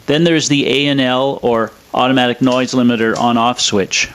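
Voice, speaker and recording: A man's voice: medium pitch, fairly even intonation, normal speed, fairly bright tone, clear, resonant tone. Matter-of-fact man narrating a technical explainer. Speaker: presenter of an electronics review.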